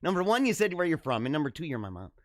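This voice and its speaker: Lively animated man hosting a live stream. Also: on the high side, very fast, dry recording, clean studio sound. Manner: animated, chuckling often, repeats himself. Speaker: host of a live-streamed political and religious talk show. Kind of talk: casual live-stream chat reading viewer comments.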